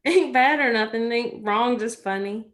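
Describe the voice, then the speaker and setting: An American woman playing a gentle, chatty rural girl in a script reading, with folksy phrasing and a playful, comforting manner. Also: a little high, moderate pace, natural voice. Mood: friendly.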